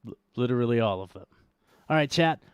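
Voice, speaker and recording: Smooth, clear-voiced man bantering. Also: mid-range voice, animated, brisk, dry recording. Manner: wry, joking. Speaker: co-host of a cryptocurrency show. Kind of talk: casual podcast banter and commentary.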